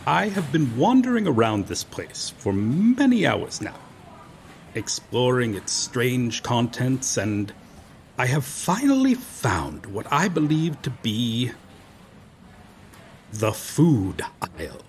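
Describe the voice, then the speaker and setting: A man acts out an earnest, noble medieval knight, befuddled but unfailingly courteous. His delivery is theatrical and very animated in pitch, yet unhurried, with archaic formal wording and hesitant pauses. It is an audio roleplay with noticeable background noise. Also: exaggerated voice. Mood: courteous, proud. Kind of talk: ASMR roleplay audio drama, addressing the listener.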